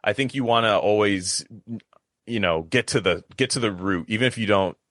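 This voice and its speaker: A man's voice: on the low side, moderate pace, a bit bright, no echo, clean studio sound. A man chatting casually as a podcaster, talking emphatically in rambling runs and repeating phrases for stress. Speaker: podcaster, guest.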